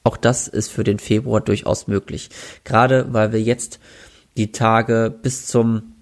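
A man with a cold, his voice congested and sniffling, giving a relaxed, chatty weekend weather forecast. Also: on the low side, a little slow. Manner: relaxed, chatty, with filler hesitations. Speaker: weather presenter. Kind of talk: weekly weather forecast, casual address.

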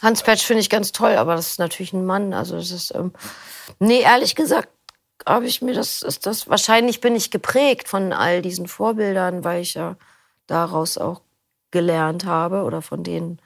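A middle-aged woman with a low, gravelly voice, talking through her answers in a loose, thoughtful way. She restarts often and fills pauses with small hesitations. The sound is dry and close. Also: steady tone, dry recording. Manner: thoughtful, rambling with restarts and fillers. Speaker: interview guest, audiobook narrator. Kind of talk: casual interview answers.

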